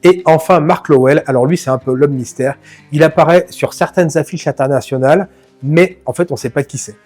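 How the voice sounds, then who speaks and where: A man's voice: a little high, fairly quick, a little mellow, faint music behind. Chatty French film-channel host, a man. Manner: chatty, addresses viewer informally, self-mocking asides. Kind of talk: casual film analysis to camera.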